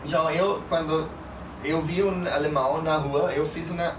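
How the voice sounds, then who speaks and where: A man in his thirties with a slightly high voice chats and gives advice as a guest. His delivery is halting and mixes languages. He sounds echoey, with clear noise around him. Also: steady tone. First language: German. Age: 30s. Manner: halting non-native speech peppered with Spanish and English words and tag questions. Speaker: guest, public speaker. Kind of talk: casual storytelling and advice. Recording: echoey, noisy, distant mic.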